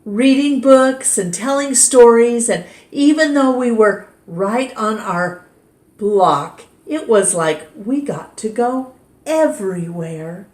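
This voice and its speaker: A woman reading a picture book aloud at storytime in a gentle, expressive way. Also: a little high, slow pace. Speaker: storytime reader. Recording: roomy echo.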